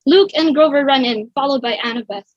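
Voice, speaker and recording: High-voiced woman narrating stage directions. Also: brisk, muffled recording. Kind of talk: scripted narration for a table read.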